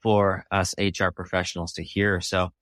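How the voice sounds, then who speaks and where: Low-voiced male podcast host. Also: flat delivery, moderate pace, fairly bright tone, dry recording, clean studio sound. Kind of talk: conversational interview.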